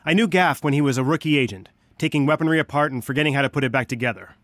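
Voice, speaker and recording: An actor playing an earnest scientist who leads a resistance, guiding heroes through video game missions in a measured, dryly wry way. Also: male voice, mid-range voice, a bit bright, no room sound, studio quality, close to an everyday voice. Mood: calm, wry.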